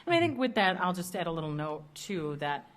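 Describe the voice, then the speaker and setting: A woman's voice: lowish voice, very animated, brisk. A young woman from a Chicago suburb with a strong American Midwest accent, chatting casually as an interview guest. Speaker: podcast guest, ministry co-founder. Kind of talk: casual conversational interview answers.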